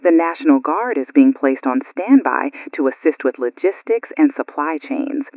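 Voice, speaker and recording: Woman playing worried lady radio host in a blackout drama, very animated pitch, kinda lo-fi dry booth sound. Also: moderate pace, no room sound. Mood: formal, reading. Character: anxious female radio news co-host during a blackout. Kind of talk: radio news drama acting.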